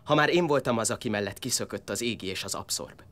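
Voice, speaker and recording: An actor playing a polite, determined young would-be warrior in an audio drama, respectful yet insistent. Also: male voice, on the low side, expressive, a bit bright. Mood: earnest, insistent.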